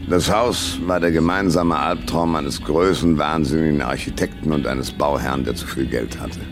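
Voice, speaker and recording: Very deep, gravelly hardboiled detective. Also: male voice, normal speed, over music. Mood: sardonic narrating. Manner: dry, laconic, sardonic. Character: cynical wisecracking male hardboiled private detective. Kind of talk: 1970s radio drama noir parody, first-person narration and dialogue.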